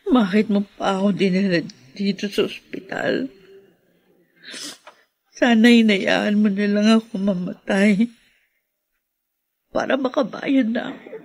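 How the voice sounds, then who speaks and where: An actress playing a remorseful, grief-stricken daughter in a radio drama, pleading and emotional. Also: female voice, medium pitch, a little slow, long pauses, a little mellow.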